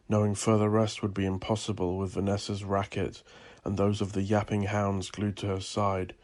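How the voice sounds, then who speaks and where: A man narrating a scripted horror story in a theatrical, deliberate, ominous manner. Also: fairly low pitch, a little mellow. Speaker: audio drama narrator.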